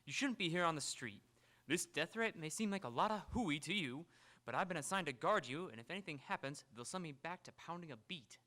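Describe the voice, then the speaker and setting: A man's voice: fairly high pitch, expressive, a little fast, sharp tone. A man playing a brusque, world-weary police inspector in a live radio drama, with emphatic delivery. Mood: urgent warning. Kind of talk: live old-time radio drama acting.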